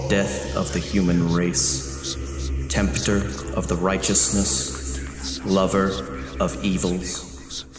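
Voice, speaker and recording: A man's voice: sits low, reverberant, big-room sound. A man acting a nervous city architect in a horror audio drama, hesitant and stammering. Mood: chanting. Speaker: lead character in an audio drama.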